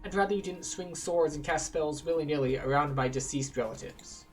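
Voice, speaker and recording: A man's voice: on the high side, brisk, distant mic, faint music behind, natural voice. Polite, fretful crypt keeper read by a man. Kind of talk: reading game quest dialogue aloud.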